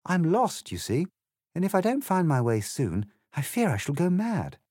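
A prim, well-mannered young girl, curious and a little fretful, speaking in genteel, earnest tones, as a male narrator puts her on. Her voice lifts high and lilting in a storybook parody, recorded dry and close. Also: wildly swooping pitch, normal speed, darkish tone, husky, no room sound, full character voice.

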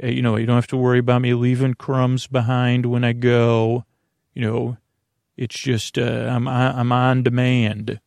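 Creaky-voiced man rambling soothingly. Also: medium pitch, monotone, a little slow, darkish tone, no room sound. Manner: meandering, hesitant, self-interrupting asides. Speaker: podcast host. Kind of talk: rambling, soothing bedtime monologue.

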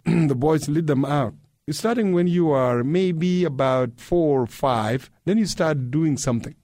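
A man's voice: medium pitch, darkish tone, dry recording, studio quality. A man from South Sudan, a radio interview guest, recalling his childhood unhurriedly and repeating words for emphasis. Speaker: guest, human rights activist. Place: radio studio.

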